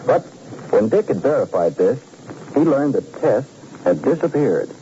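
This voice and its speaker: A man's voice: medium pitch, big pitch swings, normal speed, mellow, lightly acted. A man narrating a detective serial in scripted 1940s radio style. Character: male storyteller of a detective serial. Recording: muffled vintage radio recording, faint noise.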